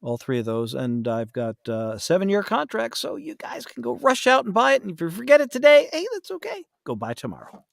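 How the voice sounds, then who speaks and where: Animated man, rambling podcast guest. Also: sits high, brisk, creaky, few pauses, no room sound, studio quality. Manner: rambling, self-deprecating, often trailing off mid-thought. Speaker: podcast guest, audiobook narrator. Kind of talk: casual podcast chat.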